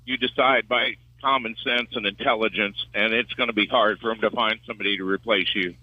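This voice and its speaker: A man's voice: lowish voice, steady tone, a little fast, dry recording. Man on a muffled phone line. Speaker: radio phone-in caller. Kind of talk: casual opinionated chat. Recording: dull band-limited phone line.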